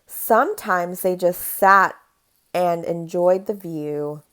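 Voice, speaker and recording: A woman's voice: fairly low pitch, takes it slowly, a bit bright. A woman reading a children's picture book aloud in a storytelling style.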